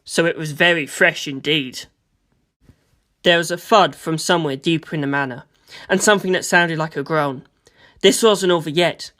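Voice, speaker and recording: A narrator reading a first-person story aloud as an audiobook, in an expressive, conversational and dramatic way. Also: mid-range voice, moderate pace. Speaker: audiobook reader.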